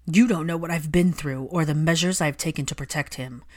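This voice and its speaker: A guarded, proud man played by a woman narrator speaks with cold fury in a dramatic story. The lines are curt and forceful, on the lower side for a female voice. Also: brisk. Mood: furious, defensive.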